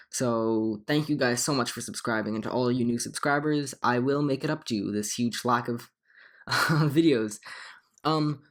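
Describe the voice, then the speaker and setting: A slightly hoarse young man with a cold, talking casually over game footage as a channel host, rambling with frequent ums and restarts. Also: medium pitch. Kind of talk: casual gameplay commentary.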